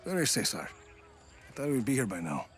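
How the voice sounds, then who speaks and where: A cocky male rebel hero in a game cutscene. He is dry and commanding, with a teasing edge and pitch that swings widely over faint music. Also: very animated, normal speed, a bit bright. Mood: brisk, wry. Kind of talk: video game cutscene acting.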